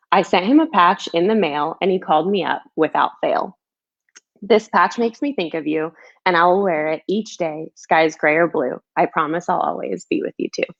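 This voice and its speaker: Woman author, kinda low creaky voice, bit muffled lo-fi recording. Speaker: children's book author reading own book. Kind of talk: storybook read-aloud, rhyming, then casual chat.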